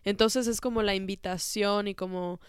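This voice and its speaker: A young woman hosting a podcast, talking in a relaxed, reflective way with many fillers, a little congested from a cold. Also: medium pitch, smooth, dry recording, clean studio sound. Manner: warm, unhurried, frequent fillers and soft laughs. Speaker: podcast host. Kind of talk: reflective casual monologue.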